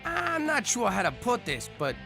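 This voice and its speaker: Gravelly sad-sack mob guy in a cartoon, mopey wisecracks, music under. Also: extremely high pitch, huge pitch swings, moderate pace, heavily acted. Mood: glum. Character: hapless, guilt-ridden middle-aged mob man, kicked out by his wife. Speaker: main character. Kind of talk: animated sitcom voice acting.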